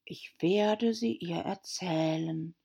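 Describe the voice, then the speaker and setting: A woman voicing a gloomy, easily annoyed old female turtle from a storybook, slow and sighing, with a hollow voice. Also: creaky, warm tone, slightly rough, heavily acted. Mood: mournful, solemn. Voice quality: deep, hollow voice.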